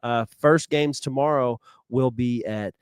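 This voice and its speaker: A man with a smooth, resonant voice chatting loosely as a podcast co-host. His talk rambles and often trails off mid-sentence. The sound is dry and close. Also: medium pitch, unhurried, dry recording. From England. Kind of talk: casual chat.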